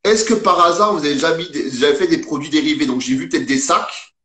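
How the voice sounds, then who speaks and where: A man's voice: on the high side, quick pace, pushed and bright. A man in his thirties hosting a casual online interview, chatty and joking as he asks questions. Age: 30s. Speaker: host. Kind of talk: casual video call interview. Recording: roomy echo.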